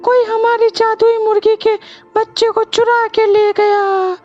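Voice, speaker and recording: Woman acting cartoon village wife, extremely high pitch, flat intonation, devoted, plaintive, dull lo-fi sound. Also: unhurried, soft background music. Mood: distressed, pleading. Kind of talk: cartoon story dialogue.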